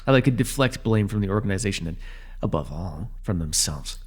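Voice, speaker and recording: A man's voice: deep voice, animated, breathy edge. A man playing a bitter, commanding telepathic villain who was once a hero, speaking with sardonic deliberation in an ASMR superhero roleplay. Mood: bitter, hurt. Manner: sardonic, deliberate, addressing a captive.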